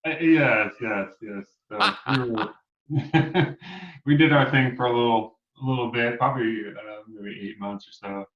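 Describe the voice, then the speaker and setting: A man's voice: medium pitch, measured pace. A man in his forties from New York, a guest musician chatting casually, encouraging and chuckling, hunting for dates with many fillers. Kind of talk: casual interview chat. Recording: dull, roomy remote audio.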